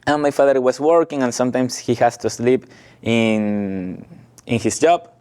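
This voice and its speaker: Slow, mellow-voiced Ecuadorian young man. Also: mid-range voice, clear, resonant tone. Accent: Ecuadorian Spanish. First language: Spanish. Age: 20s. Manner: slow, halting non-native English with frequent laughs. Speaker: guest. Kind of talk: casual interview, telling his life story.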